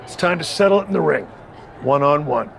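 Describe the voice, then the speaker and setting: An actor playing a scheming male rival manager in a wrestling video game cutscene, calm and persuasive with a faint taunting edge. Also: a little high, fairly quick, gravelly, a little hiss or hum.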